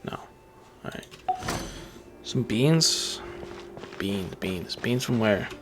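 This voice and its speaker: A man's voice: on the low side, lively intonation, extremely slow, noisy background. A man giving casual gameplay commentary, muttering and repeating words to himself. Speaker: game streamer.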